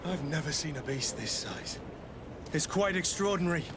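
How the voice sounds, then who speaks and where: A man's voice: on the high side, animated, moderate pace, noisy background, natural voice. An actor playing a concerned, seasoned fighting man in a fantasy video game cutscene. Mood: concerned, amazed.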